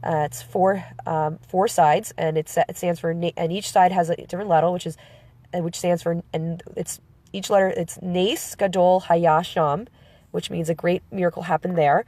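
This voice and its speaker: A woman with a slight cold, explaining a holiday to viewers joining a live stream, pausing often with fillers and restarting her sentences. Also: lowish voice, fairly even intonation, warm tone, no room sound. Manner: halting, with many fillers and self-corrections.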